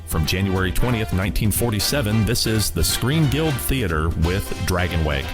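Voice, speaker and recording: A low-pitched man presents vintage radio in a scripted host's delivery. Plucked guitar music plays clearly behind him. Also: animated, moderate pace. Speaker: radio programme host.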